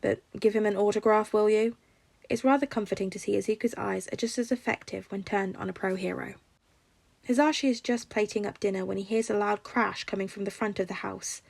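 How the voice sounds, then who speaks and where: A woman's voice: medium pitch, steady tone, brisk, vocal fry, husky, no echo. A woman reading a scripted story aloud as a fiction narrator. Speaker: podfic narrator.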